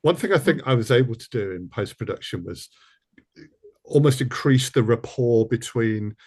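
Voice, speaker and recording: A man's voice: medium pitch, animated, moderate pace, a little mellow, slightly rough. A man interviewing casually as a producer, with a hesitant, jokey way of talking. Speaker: interviewer, audio drama producer.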